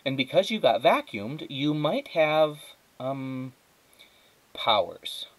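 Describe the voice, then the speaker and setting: A man's voice: medium pitch, sing-song, slow, voice pushed up, low-quality recording. A man voicing an emphatic, cynical young girl. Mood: explaining. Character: cynical, earnest young girl, bossy and excitable.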